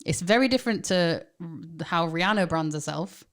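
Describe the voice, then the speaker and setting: Low-voiced chatty Manchester woman. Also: moderate pace. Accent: Manchester English. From England. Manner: animated, chatty, laughs often. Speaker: podcast co-host. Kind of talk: casual chat.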